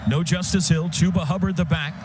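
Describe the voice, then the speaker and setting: A man's voice: fairly high pitch, steady tone, fairly quick, noisy background. An American man doing live radio play-by-play of a football game in short, clipped, descriptive phrases. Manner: clipped, descriptive call of the action. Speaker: play-by-play announcer. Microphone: broadcast booth microphone. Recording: loud stadium sound behind radio broadcast.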